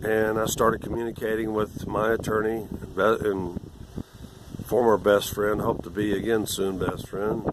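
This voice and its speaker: A man in his sixties with a rough, irregular voice, rambling and lecturing condescendingly in a video monologue. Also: fairly low pitch, level tone, a little slow, noisy background. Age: 60s. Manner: condescending, lecturing, meandering with restarts. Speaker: vlogger.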